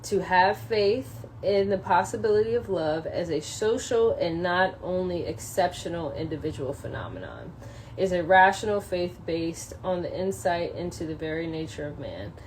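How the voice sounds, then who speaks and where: Woman, slightly low voice, casual livestream, halting delivery, thinking aloud, phone recording, ambient noise behind. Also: lively intonation, darkish tone, poor audio. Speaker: social media live streamer. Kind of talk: casual solo livestream with passages read aloud from a book. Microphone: phone propped up in a window. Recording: clear background noise, ambient sound.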